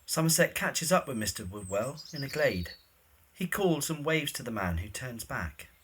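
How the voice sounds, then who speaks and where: A man's voice: medium pitch, fairly bright tone, slight background noise. A man giving scripted narration of a story, setting each scene in the present tense.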